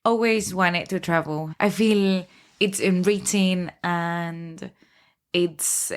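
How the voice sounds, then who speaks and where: A woman's voice: fairly low pitch, steady tone, slow pace, smooth. Woman with a Spanish accent, speaking hesitantly. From Spain. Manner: hesitant, thoughtful, with many fillers and restarts. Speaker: guest. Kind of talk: casual conversation.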